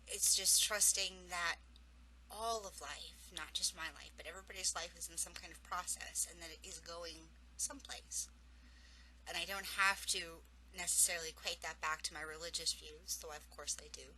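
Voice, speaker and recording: A woman's voice: deep voice, pushed and bright, slight background noise, poor audio. A woman coach with a cold, speaking earnestly and encouragingly about intuition and spirituality in an advice vlog. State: has a cold, losing her voice. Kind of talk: advice vlog answering viewer questions.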